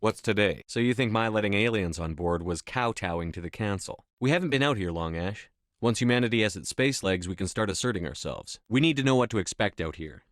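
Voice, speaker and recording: A man acting a stern, steady space-navy commander in a video game, with a measured, dry, authoritative delivery. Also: fairly low pitch, no echo, natural voice. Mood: grave, determined.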